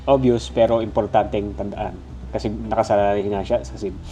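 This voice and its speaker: A man's voice: lowish voice, fairly even intonation, moderate pace, dark tone, soft background music. A Filipino-accented man with a stuffy nose, giving a casual, conversational gadget review. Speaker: product reviewer.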